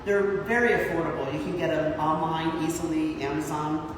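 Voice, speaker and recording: Low husky woman in her sixties coaching a workout, counts reps, echoey gym, mic far off, background noise. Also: steady tone, moderate pace. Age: 60s. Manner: encouraging, counts reps aloud. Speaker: fitness instructor. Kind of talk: guiding a workout class. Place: large gym.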